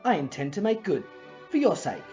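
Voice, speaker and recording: A woman's voice: fairly low pitch, sing-song, a little fast, dark tone. A woman playing an earnest, affectionate young man in love in an audio play, eager to prove himself. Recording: muffled audio, faint music and chatter.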